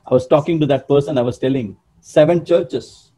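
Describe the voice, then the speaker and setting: A man's voice: fairly high pitch, brisk, slightly rough. Emphatic older man preaching a sermon. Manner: emphatic, animated, gesturing, calling out to listeners. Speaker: pastor. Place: small room indoors.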